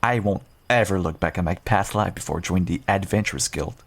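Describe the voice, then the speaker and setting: A man playing a seasoned werewolf adventurer, gruff but kindly and wry, talking straight to the listener in an audio roleplay. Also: deep voice, fairly quick. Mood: earnest, reassuring. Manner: reassuring, wry, bantering. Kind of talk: audio roleplay, one-sided dialogue addressed to listener.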